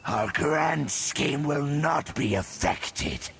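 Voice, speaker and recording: A man playing a haughty, menacing herald of the abyss in a fantasy game, gravelly, pompous and sneering. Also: on the high side, very animated, drawn-out words, heavy background noise, heavily acted. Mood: cold, scornful. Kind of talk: fantasy game villain acting.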